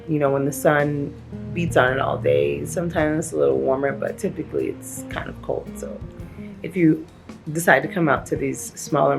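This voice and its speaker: Low-voiced woman vlogger, gravelly edge. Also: darkish tone. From Cuban family, grew up in the US. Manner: chatty, laughs easily. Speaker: travel vlogger. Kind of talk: casual vlog chat and voice-over narration.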